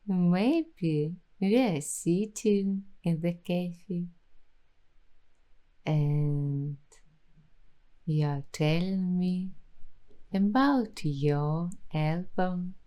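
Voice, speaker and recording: Female ASMR host, whispery and soft, slightly low voice, dreamy and intimate delivery. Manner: slow, dreamy, intimate, addressing the listener directly. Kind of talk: soft-spoken ASMR roleplay.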